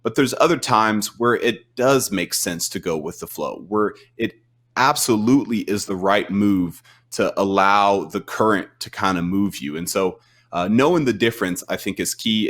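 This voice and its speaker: Male guest, low voice, earnest motivational talk, frequent restarts. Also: moderate pace, a bit bright. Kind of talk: casual chat.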